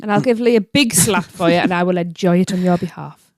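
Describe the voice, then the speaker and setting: A woman's voice: on the low side, fairly quick, dry recording, studio quality. A woman co-hosting a podcast, cheerful and playful, laughing easily. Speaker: podcast co-host. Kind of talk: casual podcast chat.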